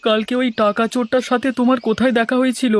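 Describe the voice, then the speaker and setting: A high-pitched, quick-talking village man performed by a male actor from a cartoon. He is a self-pitying, anxious errand runner who sounds plaintive and fretful. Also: flat delivery, a little hiss or hum. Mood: accusing. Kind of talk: cartoon dialogue acting.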